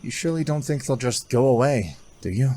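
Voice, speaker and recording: An actor playing a determined young soldier with an earnest, persuasive manner in a fantasy audio drama. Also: male voice, mid-range voice, expressive, fairly quick, dark tone, close to an everyday voice. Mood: earnest, insistent.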